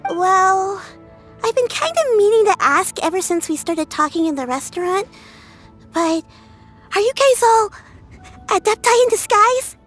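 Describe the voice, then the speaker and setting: A sweet little girl from a game, very high in pitch. She sounds earnest, polite and slightly hesitant. Faint music sits behind her. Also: expressive, measured pace, long pauses, fairly bright tone, full character voice. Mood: hesitant, curious. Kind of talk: video game voice acting.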